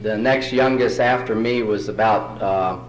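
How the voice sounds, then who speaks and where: A man's voice: lowish voice, unhurried. A man from New York City, whose first language is Spanish, telling folksy jokes and self-deprecating stories as a speaker at a recovery meeting. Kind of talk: storytelling talk at a recovery meeting. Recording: roomy hall recording.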